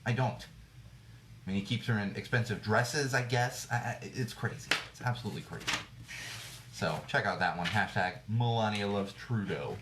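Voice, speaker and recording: A man hosting a talk show, giving blunt, indignant commentary on the news, repeating phrases for emphasis, and a little hoarse as he loses his voice. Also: on the low side, normal speed, reverberant, background noise. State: slightly hoarse, losing his voice. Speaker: podcast host. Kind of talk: opinionated news commentary rant.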